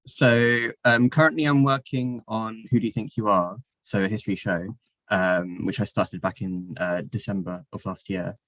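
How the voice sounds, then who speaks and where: A young man with a very low voice talks casually about his work. He is relaxed and hesitant, and he pauses often on fillers. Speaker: alumnus of a documentary training scheme. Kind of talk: casual panel chat.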